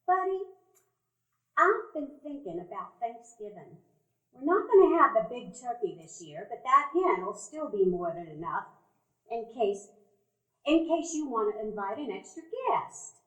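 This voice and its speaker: A woman playing a gentle, coaxing caretaker. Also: fairly high pitch, big pitch swings, measured pace. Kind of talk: live stage radio play. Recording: echoey live theater room.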